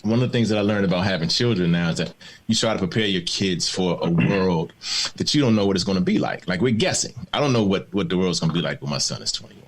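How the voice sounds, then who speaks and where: A man born in the Bahamas and raised in Maryland, a TV showrunner speaking as a guest, telling personal stories in a candid panel conversation, reflective and quick to laugh, over a video call. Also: deep voice. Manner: reflective, storytelling, laughs easily.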